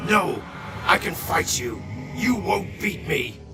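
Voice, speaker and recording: A man's voice: high-pitched, big pitch swings, takes it slowly, exaggerated voice. A man playing a tormented, menacing robot warlord in a radio drama, with strained, dramatic declarations, heard from a distance under music. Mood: desperate defiance. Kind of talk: animated-series radio drama acting.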